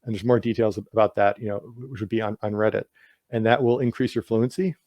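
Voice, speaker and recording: A man's voice: on the low side, fairly even intonation, fast, a little mellow, dry recording. Stuttering man, dry close sound. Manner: stutters with sound and word repetitions; heavy use of "like" as filler. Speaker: guest author. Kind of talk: casual interview answers.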